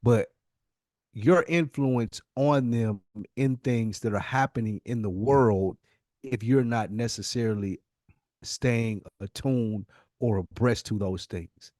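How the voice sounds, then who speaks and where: A man's voice: medium pitch, slow pace, a little mellow, no room sound, studio quality. A man co-hosting a podcast in casual chat, thinking aloud reflectively and circling back over his words. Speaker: podcast co-host.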